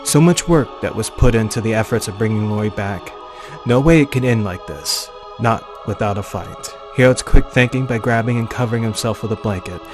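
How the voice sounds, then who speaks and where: A man reading a scripted horror story as a dramatic narrator, with theatrical, emphatic phrasing and deliberate pauses. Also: lowish voice. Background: music.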